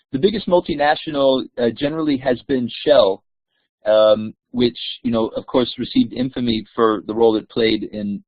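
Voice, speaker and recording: A man's voice: mid-range voice, no room sound. A man, a scholar, explaining at length in an online discussion, hesitant with frequent "um" and "uh". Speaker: scholar guest. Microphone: online call audio, video off. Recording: dry, tinny phone-like line.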